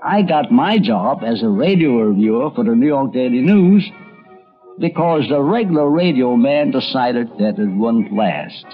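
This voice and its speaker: A man's voice: medium pitch, lively intonation, measured pace, soft background music. Older man reminiscing on a dull radio recording. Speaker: radio critic and reminiscing narrator. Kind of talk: documentary narration, reminiscing.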